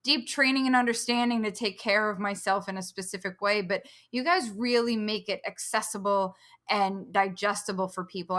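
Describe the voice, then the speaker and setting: A woman's voice: medium pitch, a bit bright. A woman hosting a podcast in a conversational interview style, speaking in an effusive, appreciative manner. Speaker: podcast host.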